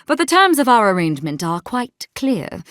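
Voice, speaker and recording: Stern, haughty military captain, animated delivery. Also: female voice, fairly high pitch, moderate pace, dry recording, studio quality. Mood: menacing. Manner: formal, clipped, imperious. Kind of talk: video game character dialogue.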